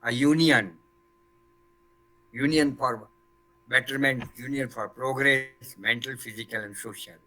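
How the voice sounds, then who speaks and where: Older man, bright voice. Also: mid-range voice, steady tone, a little slow, pauses often, rough recording. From Gujarat, India. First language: Gujarati. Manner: earnest, quotes Sanskrit verses. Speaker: panelist. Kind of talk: panel discussion.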